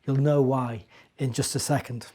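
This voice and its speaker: A man's voice: mid-range voice, fairly even intonation, normal speed, warm tone. A middle-aged man with a British accent, a minister telling stories to young children in a chatty way, with simple explanations and questions. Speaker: church minister and storyteller.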